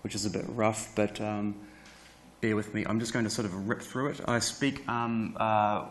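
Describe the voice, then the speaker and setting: A man in his thirties with a New Zealand accent, giving a conference talk in a lecture hall, rushing along with many fillers and restarts. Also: fairly low pitch, fairly even intonation. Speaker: guest speaker. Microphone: lapel mic.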